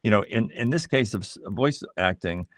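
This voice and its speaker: A middle-aged man being interviewed as a voice actor, explaining things in a measured, reflective way and pausing to find his words. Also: lowish voice, lively intonation, no room sound, studio quality.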